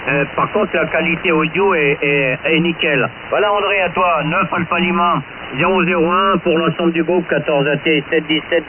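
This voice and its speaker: Man on a hissy, narrowband radio link. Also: on the high side. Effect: radio transmission. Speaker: amateur radio operator. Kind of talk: casual radio contact chat, signal reports. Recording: narrowband hissy radio signal, heavy noise. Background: loud radio hiss.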